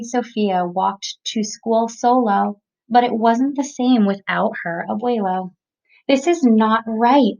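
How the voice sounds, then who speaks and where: A woman reading a children's picture book aloud, with expressive, emphatic phrasing for young listeners. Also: mid-range voice, vocal fry. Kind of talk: children's storybook read-aloud. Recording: dull lo-fi recording.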